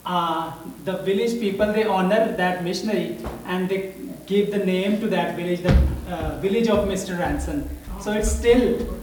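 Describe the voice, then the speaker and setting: A man with a Pakistani accent, a Punjabi speaker talking in English, addressing a church congregation haltingly and searching for his words. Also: high-pitched, darkish tone, faint music behind. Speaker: visiting missionary speaker. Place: church hall. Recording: roomy hall audio from a distance.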